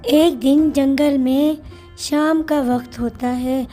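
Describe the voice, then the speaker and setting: A woman's voice: high voice, steady tone, slow pace, dark tone, soft background music. A woman narrating a scripted children's story.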